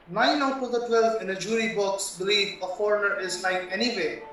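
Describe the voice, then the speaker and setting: A man's voice: sits high, fairly bright tone. A man playing a shrewd, blunt, worldly senior barrister in an audio play. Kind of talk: stage-style radio play acting. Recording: very echoey room, distant mic.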